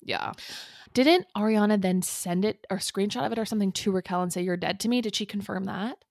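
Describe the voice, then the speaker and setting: A woman's voice: mid-range voice, fairly quick, creaky, darkish tone, no echo, studio quality. A woman podcast co-host chatting casually and reacting to gossip.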